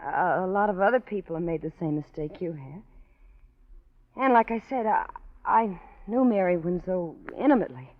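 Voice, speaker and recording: An actress playing a worldly, guarded blues singer on the run, American accent, tough but hesitant, in 1940s radio noir style. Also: female voice, on the low side, normal speed, close to an everyday voice. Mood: guarded, insistent. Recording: dull vintage 1940s radio recording.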